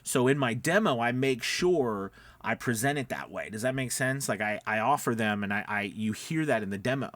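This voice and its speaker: A man's voice: medium pitch, expressive, moderate pace, a bit bright, no echo. A man talking casually as a voice-over coach, explaining things step by step in a conversational, emphatic way and often checking in with listeners.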